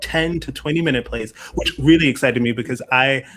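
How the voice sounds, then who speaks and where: A man's voice: mid-range voice, fairly quick, fairly bright tone, slight background noise. Casual, enthusiastic man in conversation. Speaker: festival producer hosting a discussion.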